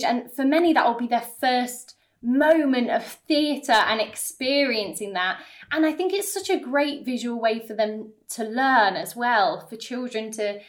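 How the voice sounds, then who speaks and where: A woman with a voice a little higher than usual, hosting a podcast. She speaks casually to her guest and is effusive and encouraging as she interviews.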